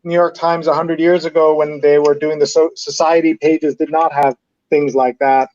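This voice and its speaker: A man's voice: a little high, level tone, normal speed, a little mellow, no echo, lo-fi sound. A man hosting a live read-along, chatting enthusiastically and hopping between asides as he reads out and comments. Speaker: host of a live newspaper read-along show.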